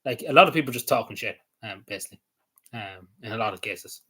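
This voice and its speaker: A man's voice: fairly low pitch, animated, fast talker, pushed and bright, breathy edge. A man rambling casually on camera, hesitant, with many fillers, restarts and small chuckles. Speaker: vlogger.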